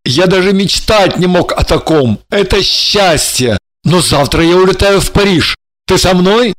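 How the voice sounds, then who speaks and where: Woman reader plays a lovestruck, exclamatory male painter, unhurried delivery, dry close read. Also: on the low side, animated, dry recording. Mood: amazed, delighted. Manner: effusive, exclamatory. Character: ardent, excitable male painter in love. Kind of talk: audiobook character reading.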